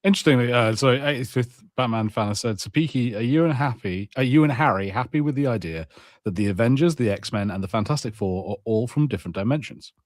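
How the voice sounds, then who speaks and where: A man's voice: mid-range voice, animated, quick pace, no room sound, studio quality. A man in casual podcast conversation as a co-host, telling anecdotes in a rambling way. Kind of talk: casual chat.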